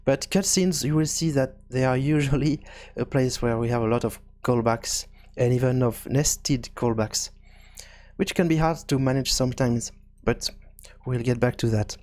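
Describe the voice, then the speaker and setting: A man hosting a programming tutorial, thinking aloud in a halting, hesitant way as he works through each step. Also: medium pitch, darkish tone. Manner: hesitant, halting, working things out as he speaks. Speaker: video game programming tutorial host.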